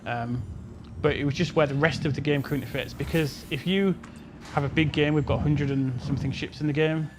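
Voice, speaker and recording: A man's voice: a little high, fairly even intonation, a little fast, hardly any pauses, noisy background. A man answering questions as a game developer on a panel, explaining informally in a loose, hedging way. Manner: hedging, explanatory, peppered with "you know" and "kind of".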